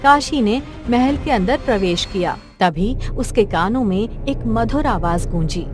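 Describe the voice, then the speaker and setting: A woman's voice: a little high, lively intonation, a little slow, over music. A woman reading scripted narration for a cartoon folk story.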